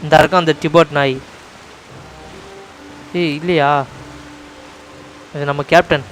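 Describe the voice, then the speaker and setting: A man's voice: fairly high pitch, steady tone, very fast, fairly bright tone, soft background music. A man with a Tamil accent giving casual live commentary as a game streamer. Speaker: game livestreamer.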